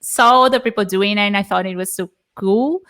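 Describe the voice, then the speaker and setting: A woman in her thirties with a Brazilian Portuguese accent, speaking English as a second language in a relaxed interview. She talks in halting bursts, full of fillers and soft laughs, at a medium pitch. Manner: hesitant, many fillers, frequent laughs. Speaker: guest. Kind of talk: casual interview chat.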